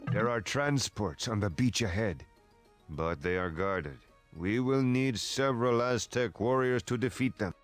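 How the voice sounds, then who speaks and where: An actor playing a grave, loyal Aztec warrior in a game, with a measured and deliberate delivery. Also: male voice, fairly low pitch, animated, soft background music. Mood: wary. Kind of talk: scripted game dialogue.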